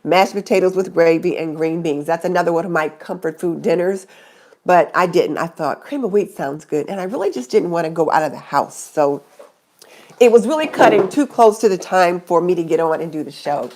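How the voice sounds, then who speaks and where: A woman's voice: lowish voice, expressive, fairly quick, a little mellow. Chatty, congested woman live-streaming. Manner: chatty, greets viewers by name. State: stuffy nose from a cold. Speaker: YouTube channel host. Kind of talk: casual live stream chat.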